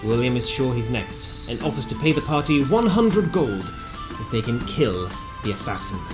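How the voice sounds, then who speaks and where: A narrator reading a scripted recap of a tabletop fantasy game in a theatrical storytelling manner with wry asides. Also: medium pitch. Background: music.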